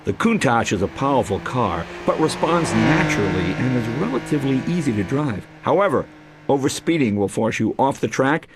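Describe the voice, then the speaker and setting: A man reading scripted instructional voice-over for a racing game in a clear, measured manner. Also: medium pitch, sing-song, over music. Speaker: game tutorial narrator. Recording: loud car engine noise over voice. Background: race car engines and driving sounds.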